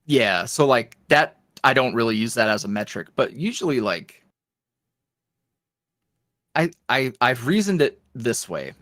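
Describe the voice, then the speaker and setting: Male guest, animated pitch, chatty and rambling, dry close sound. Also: unhurried, long pauses, no echo. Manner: rambling, lots of fillers and restarts. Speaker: guest, ASMR roleplay creator. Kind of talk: casual interview chat.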